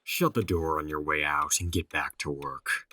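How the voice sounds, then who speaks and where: A man's voice: very deep voice, fairly even intonation, normal speed, pushed and bright, slight background noise. A man playing a stern, condescending office boss in an audio drama, speaking in a scolding, lecturing manner. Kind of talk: audio horror drama acting.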